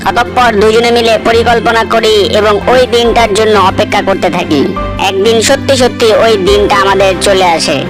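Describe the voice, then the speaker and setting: Woman playing a medium-pitched young cartoon murderess. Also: natural voice, rough recording. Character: young female murderer confessing her scheme. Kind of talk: cartoon voice acting.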